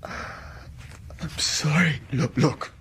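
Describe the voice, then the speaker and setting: A man's voice: a little high, drawn-out words, a bit bright. An actor playing a terrified male patient in a radio horror drama, desperate and pleading in panic.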